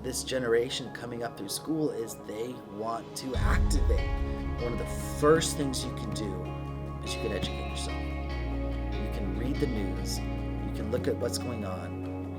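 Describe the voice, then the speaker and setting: A man giving reflective, explanatory answers in an unscripted interview. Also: mid-range voice.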